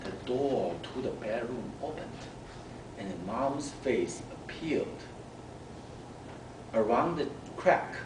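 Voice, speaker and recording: A man reading a children's story aloud in a deliberate, expressive way, pausing between phrases. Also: medium pitch. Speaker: storyteller at a speaking club. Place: club meeting room. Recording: echoey room, distant mic, background noise.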